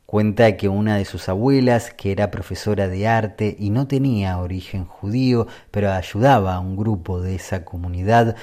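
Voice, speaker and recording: A man narrating a scripted radio feature as a reporter, with a measured, even storytelling delivery. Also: fairly low pitch.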